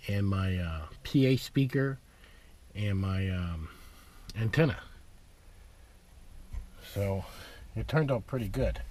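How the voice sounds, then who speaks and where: A man giving a casual, unscripted DIY walkthrough, explaining step by step in a plain-spoken, halting way. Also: on the low side, a little mellow. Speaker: DIY how-to presenter. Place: in or beside a parked pickup truck.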